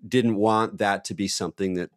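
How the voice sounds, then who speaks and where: A man with a deep, booming voice talks through his ideas in a loose, rambling conversational way, circling back with fillers and restarts. The recording is dry and close, with no room sound around him. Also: fairly even intonation, moderate pace, no room sound. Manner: rambling, full of "you know" fillers and restarts. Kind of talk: thoughtful conversational talk.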